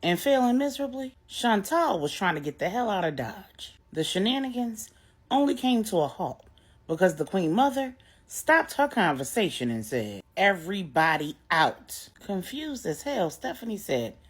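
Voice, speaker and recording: A woman narrating a dramatic story for adults in a wry, deliberate, emphatic way. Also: mid-range voice, very animated, husky.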